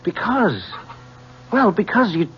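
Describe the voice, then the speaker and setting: An actor playing a defiant, earnest young adult son in a 1970s radio drama, speaking in a challenging, pointed way. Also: male voice, sits high, big pitch swings, slow pace, exaggerated voice. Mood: earnest, upset. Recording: muffled, hissy old radio broadcast with hum.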